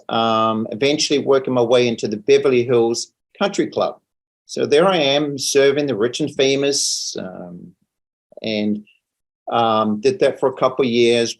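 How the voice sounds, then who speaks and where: A middle-aged man with a New Zealand accent talks conversationally as an interview guest, often repeating words as he finds his phrasing. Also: mid-range voice. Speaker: interview guest, financial advisor.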